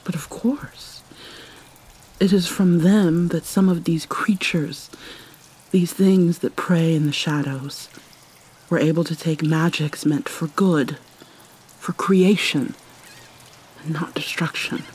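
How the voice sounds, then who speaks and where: A woman playing a haunted, sorrowful vampire mermaid in a soft, breathy ASMR roleplay, halting and often slipping into a whisper. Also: sits low, full character voice, rough recording. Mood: solemn, wistful. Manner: halting, mournful, dramatic pauses. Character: vampire mermaid, sorrowful young-looking princess, centuries old, haunted. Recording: steady rain and water noise behind. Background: rain ambience.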